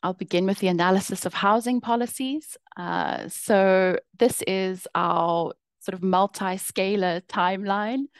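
A young woman presenting her research over slides on a video call, explaining in a measured way with occasional fillers and restarts. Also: medium pitch, steady tone, dry recording.

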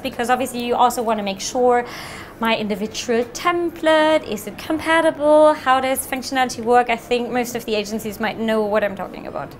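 A woman in her thirties presenting software at a meetup, answering audience questions in a friendly, fluent way with frequent ums. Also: a little high, slight background noise.

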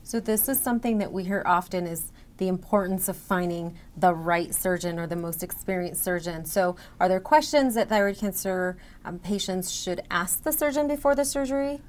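A woman's voice: on the low side, moderate pace, few pauses, a little mellow. A middle-aged woman interviewing a guest with semi-scripted questions. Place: indoor event room with banner backdrop.

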